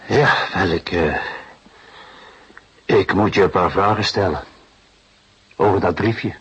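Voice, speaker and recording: An actor playing a patient, fatherly, wry police detective in a Dutch radio play, speaking in deliberate, musing phrases. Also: low-pitched, a bit bright, slightly rough, full character voice. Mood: probing, wry. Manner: deliberate, hesitant musing with repeated assents. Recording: muffled radio drama recording.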